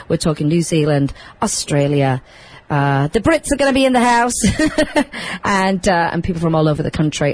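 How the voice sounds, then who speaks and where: Loud, chatty woman host. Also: fairly low pitch, low-quality recording. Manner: loud, chatty, laughs often. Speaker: podcast host. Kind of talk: casual chat.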